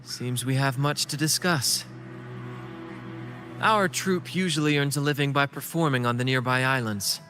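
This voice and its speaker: A young male troupe leader from a video game played by an actor speaks in articulate, measured lines with a theatrical touch. His voice sits at a medium pitch, with music playing clearly behind it. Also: natural voice. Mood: grave, composed. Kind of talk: scripted video game story dialogue.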